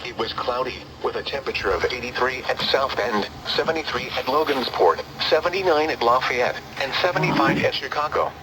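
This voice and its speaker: A computer-generated male voice reading a weather report, even and mechanical, heard through a small radio speaker. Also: medium pitch, fairly quick, hardly any pauses, heavy background noise. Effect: played through a small radio speaker. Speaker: automated weather broadcast voice.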